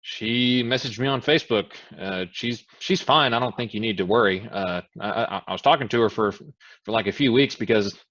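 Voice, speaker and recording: A man's voice: lowish voice, normal speed, fairly bright tone, lo-fi sound, lightly acted. An actor in a staged reading playing an awkward, blunt man who is easily flustered and hesitant. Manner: hesitant, stammering.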